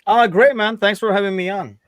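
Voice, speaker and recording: A man's voice: high voice, fairly even intonation, brisk, no room sound, clean studio sound. A middle-aged man from Turkey telling personal stories in an informal, rambling way as a podcast guest. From Istanbul, Turkey. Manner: informal, rambling, peppered with "like" and "you know". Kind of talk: casual chat, personal storytelling.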